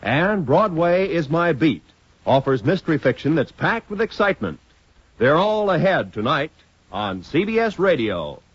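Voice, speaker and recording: A man's voice: fairly high pitch, sing-song, normal speed, breathy edge, no room sound. An American man announcing programmes in the polished promotional style of a 1950s network radio announcer. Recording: dull vintage radio recording.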